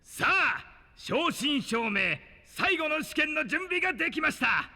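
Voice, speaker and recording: An actor playing a theatrical, cheery exam master, announcing formally and showily in a fantasy audio drama. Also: male voice, extremely high pitch, big pitch swings, a little slow, bright, forceful tone, heavily acted. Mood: showy. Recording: long hall reverb.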